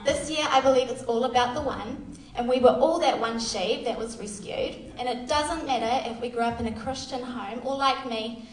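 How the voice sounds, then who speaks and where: A woman's voice: a little high, moderate pace, a little hiss or hum. A woman, giving a candid, self-deprecating church testimony full of fillers. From Australia. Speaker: youth worker sharing testimony. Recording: echoey hall, voice far from mic.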